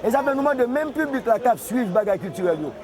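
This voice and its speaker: Guy yelling high and hyped, huge crowd noise all around. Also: expressive, rapid-fire. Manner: shouting, fired up.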